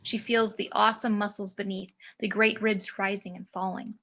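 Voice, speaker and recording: Bright-voiced woman narrating a screenplay. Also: medium pitch, little pitch movement, normal speed. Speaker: narrator of action descriptions.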